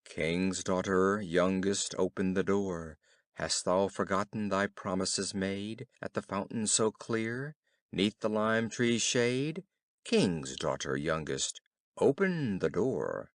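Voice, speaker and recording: A man's voice: low-pitched, no echo, studio quality, pitched below the performer's own voice. A man voicing an insistent, pleading talking frog in a storybook, with formal, old-fashioned phrasing. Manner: archaic, formal, slow deliberate phrasing.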